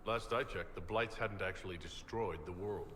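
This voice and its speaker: An actor voicing a calm, direct leader of an inquisition in a fantasy game, terse and measured. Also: male voice, sits low, reverberant, a little hiss or hum. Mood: firm, dry. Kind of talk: video game dialogue.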